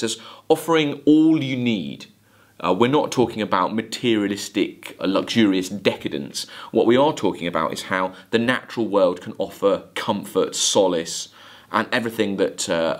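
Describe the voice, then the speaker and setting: A man in his thirties lecturing on literature, explaining in hesitant phrases with emphatic stresses. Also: lowish voice. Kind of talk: video lecture with poem readings and commentary.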